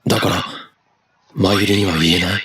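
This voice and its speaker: A male actor voicing an intense, questioning young protagonist in a Japanese visual novel, husky and dramatic. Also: low voice, fairly even intonation, rapid-fire, fairly bright tone, heavily acted. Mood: probing.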